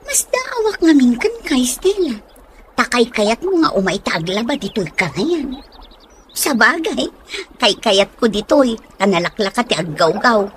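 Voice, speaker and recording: A woman's voice: on the high side, big pitch swings, unhurried, slight background noise. A woman playing an inquisitive, practical young friend in an Ilocano radio drama, with a Filipino Ilocano accent. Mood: inquisitive, concerned. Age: young adult.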